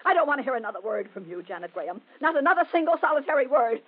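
A husky, high-set voice that swings widely in pitch, a domineering middle-aged mother. She nags and scolds in old radio melodrama style, on a thin, tinny recording. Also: very animated, rapid-fire, heavily acted. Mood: stern, scolding. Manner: nagging, repetitive, shrill outbursts.

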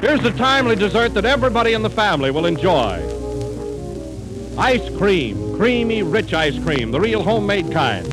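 1930s American radio pitchman, high voice, reading ad copy over clear music on a vintage broadcast. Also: very animated, moderate pace, rough recording. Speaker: radio announcer. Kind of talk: scripted 1930s radio advertising.

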